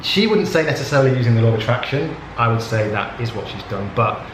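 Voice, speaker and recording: A man hosts a casual interview in a relaxed, rambling way, often pausing on fillers. His voice sits at a middling pitch. The room is echoey, and background noise runs under him. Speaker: host. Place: indoors at a yoga retreat.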